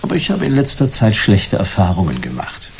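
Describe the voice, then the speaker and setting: An actor playing a laconic, sardonic private detective in a German sci-fi radio drama, terse and gravelly, with dry delivery. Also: male voice, lowish voice, huge pitch swings, a little fast, exaggerated voice. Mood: dry, defiant. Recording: dull, hissy radio play recording.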